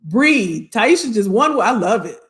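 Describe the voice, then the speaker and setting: An American woman chats to her live viewers as a career coach. She talks in a familiar, folksy way, often saying a phrase over again. Her mid-pitched voice has a slight hoarseness to it. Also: sing-song, normal speed, a bit bright. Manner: chatty, familiar, repeats phrases. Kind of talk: casual live-stream chat with viewers.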